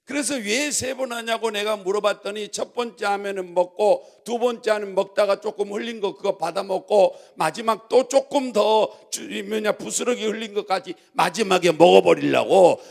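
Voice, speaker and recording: Male pastor, high creaky voice, exhortatory and repetitive call-and-response sermon delivery. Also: normal speed, hardly any pauses. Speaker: pastor preaching to congregation.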